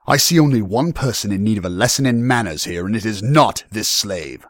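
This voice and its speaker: A man acts out a young fantasy lord who speaks with formal, imperious authority. His pitch rises well above a low storyteller's register and swings widely, with righteous menace in a close, dry sound. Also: a little fast, no room sound, close to an everyday voice. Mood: ominous, controlled. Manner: formal, imperious, bellowing or ominously calm. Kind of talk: fantasy audiobook character acting.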